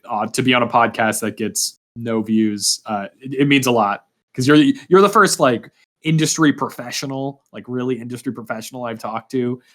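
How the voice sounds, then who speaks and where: A man's voice: mid-range voice, fairly bright tone. A young man hosting an interview in a casual, informal and self-deprecating way. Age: young adult. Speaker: podcast host, student.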